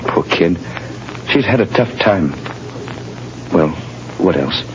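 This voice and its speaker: A man's voice: sits low, animated, takes it slowly, a little hiss or hum. An actor playing a tender, earnest father and husband in 1930s radio drama style. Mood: concerned, tender. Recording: muffled old radio broadcast, music behind.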